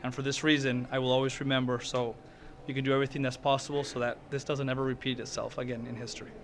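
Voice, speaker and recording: A man's voice: medium pitch, flat delivery, fast, slight background noise. A middle-aged man, an actor talking earnestly and emotionally at an indoor red carpet interview, often restarting his words. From Venezuela.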